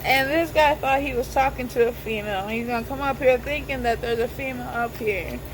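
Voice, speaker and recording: A man with a high voice giving a casual tarot reading at work, chatty, swearing now and then, repeating himself. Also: brisk, noisy background. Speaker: tarot reader.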